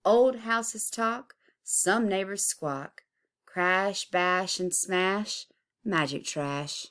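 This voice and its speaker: A woman reading a children's picture book aloud expressively, with deliberate, dramatic emphasis. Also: mid-range voice, a bit bright, clean tone. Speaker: storybook reader.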